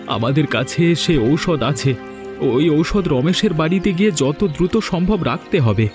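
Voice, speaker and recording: A man's voice: fairly high pitch, animated, unhurried. A man voicing an anxious, scheming village man in a cartoon fairy tale. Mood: concerned. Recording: background music under dialogue.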